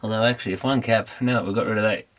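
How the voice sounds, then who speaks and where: A man's voice: lowish voice, fairly even intonation, racing, fairly bright tone, a little hiss or hum. A man thinking aloud in a casual, unscripted workbench commentary, muttering tentatively as he works. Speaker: amateur electronics repairer presenting. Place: repair workbench indoors.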